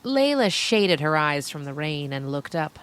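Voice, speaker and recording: A woman storyteller reading scripted fiction with dramatic, measured phrasing and deliberate pauses. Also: deep voice, sing-song, fairly bright tone, clear, resonant tone. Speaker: storyteller, podcast narrator.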